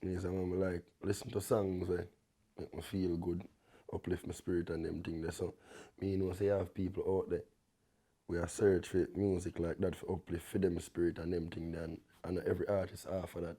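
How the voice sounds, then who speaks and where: A young man with a Jamaican accent and a deep bass voice, talking in relaxed patois as a guest artist, often tagging his sentences with a check-in. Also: a little fast, husky. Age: young adult. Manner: laid-back, peppers talk with "you see me?".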